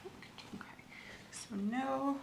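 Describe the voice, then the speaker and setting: A soft-spoken woman in an ASMR medical roleplay, gently and calmly playing an examiner, often dropping into a whisper. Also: sits high, creaky. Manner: gentle, reassuring, unhurried clinical narration. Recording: roomy echo, faint ambient noise.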